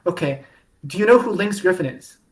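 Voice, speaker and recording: A woman hosting a podcast in a casual fan-discussion style, with halting delivery that often repeats and restarts phrases. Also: fairly low pitch, animated. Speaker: podcast host.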